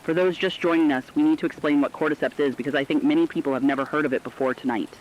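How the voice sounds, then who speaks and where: A man's voice: fairly high pitch, steady tone, rapid-fire, close to an everyday voice. A man playing an earnest, compassionate radio co-anchor, giving solemn, emotive scripted commentary on breaking news. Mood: solemn, sorrowful. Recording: dull lo-fi radio sound, faint noise.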